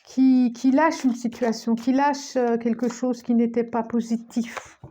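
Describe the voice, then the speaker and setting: A woman doing a tarot reading, talking very slowly with a mellow, darkish tone. She has a cold, so her voice is a little stuffy, and her pitch sits somewhat high for a woman. She often repeats herself and trails off into near-whispers. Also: little pitch movement, slight background noise. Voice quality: slow, searching, many restarts and repetitions. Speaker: tarot card reader.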